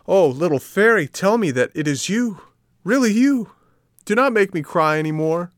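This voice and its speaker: An eager wooden puppet boy from a storybook, put on by a male reader. His voice climbs high and loud as he pleads in breathless, tearful bursts. The recording is dry and close. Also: sing-song, normal speed, dry recording.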